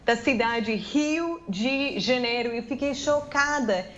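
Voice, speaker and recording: An American woman with a fairly high voice is interviewed over a video call from her home office. Her sound is dull and lo-fi. She speaks chattily, filling pauses with "ah" and restarting words. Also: lively intonation, fairly bright tone, a little hiss or hum. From United States. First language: English. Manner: chatty, many "ah" fillers and restarts. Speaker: interviewee, English teacher. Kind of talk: remote news interview.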